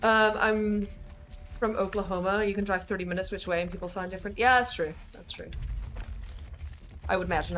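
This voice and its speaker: A woman's voice: medium pitch, fairly bright tone, slight background noise. A young woman from Northern Ireland with a mixed Northern Irish and American accent, chatting casually with viewers while she plays a game. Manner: chatty and rambling, many fillers, swears casually. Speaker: streamer.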